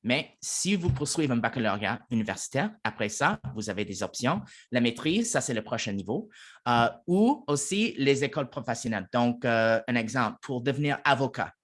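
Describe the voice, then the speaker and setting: A man's voice: medium pitch, animated, fairly quick, a bit bright. A man from Toronto, a native English speaker with an English-speaking Canadian accent, giving an informational presentation in his second language with many fillers and restarts.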